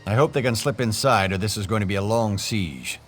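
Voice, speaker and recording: A man playing a gruff, deep-voiced baron. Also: fairly quick, a bit bright, soft background music, lightly acted. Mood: commanding. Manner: commanding, blunt, angry. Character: gruff male baron, commanding and hot-tempered.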